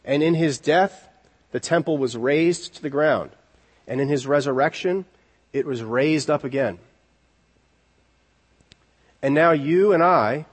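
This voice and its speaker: A man preaching an expository sermon in an earnest, deliberate way, repeating key words for emphasis. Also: fairly high pitch. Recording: long reverberant hall echo.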